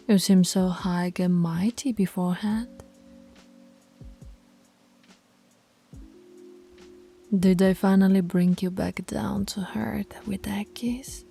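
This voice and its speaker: A dominant she-wolf pack leader, acted by a woman for an intimate audio roleplay. She speaks slowly and deliberately, with a breathy, husky tone that is firm but fond. Soft music plays behind her. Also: medium pitch. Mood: teasing, smug. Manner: slow, deliberate, commanding. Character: dominant female alpha werewolf, stern yet teasingly affectionate. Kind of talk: intimate ASMR roleplay voice acting.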